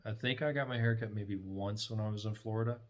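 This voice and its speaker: A man with a slightly low voice talks in a casual, conversational way. He sounds like an everyday guest on a home vlog. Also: fairly even intonation, fast talker. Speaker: host's husband, guest on her vlog. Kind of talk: casual chat.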